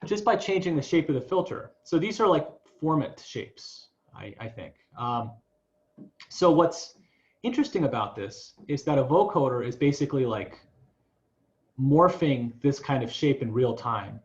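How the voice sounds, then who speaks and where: A man teaching an informal online class, demonstrating software step by step and thinking aloud in a halting, tentative way. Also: medium pitch, lively intonation, highs cut off. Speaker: music professor teaching a class. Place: online video class, voice through a microphone. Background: electronic music and synth tones.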